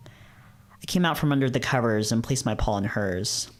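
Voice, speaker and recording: A man's voice: mid-range voice, moderate pace. A man reading visual novels aloud and chatting casually as a streamer, often repeating himself in informal slang. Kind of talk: casual gaming chat and read-aloud narration.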